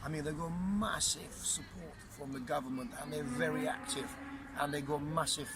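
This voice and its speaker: A Russian man speaking English with a Russian accent, a boxing promoter being interviewed outdoors, blunt and animated, often repeating himself. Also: on the high side, normal speed, gravelly. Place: outdoors, windy. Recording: outdoor wind noise.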